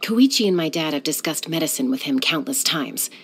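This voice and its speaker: Cool young game heroine, low gravelly voice, calm and measured. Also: female voice, exaggerated voice. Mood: composed. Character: cool, confident young woman sky-hunter and peacemaker. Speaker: game character. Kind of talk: video game voice lines.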